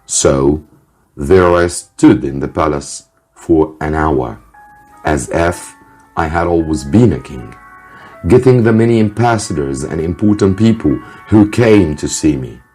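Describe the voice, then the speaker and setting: Deep, husky, slow male story reader. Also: lively intonation, darkish tone. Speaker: English teacher reading a story aloud. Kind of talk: scripted story narration for students. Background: faint music.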